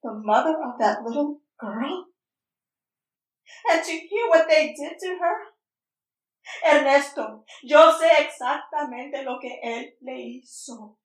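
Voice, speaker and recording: A woman's voice: high voice, slow, pauses often. A woman acting an anguished, defiant mother in a stage-play reading, emotional and switching between English and Spanish. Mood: anguished, angry. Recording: echoey room, distant mic.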